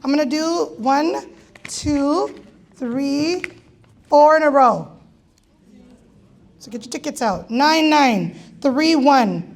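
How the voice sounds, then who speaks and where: A woman emceeing a gala and calling raffle numbers, with a raspy voice and a playful, teasing manner. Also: high voice, expressive, takes it slowly, pauses often. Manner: playful, teasing, jokey asides. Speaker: event host calling raffle draws. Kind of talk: live emcee banter at a gala.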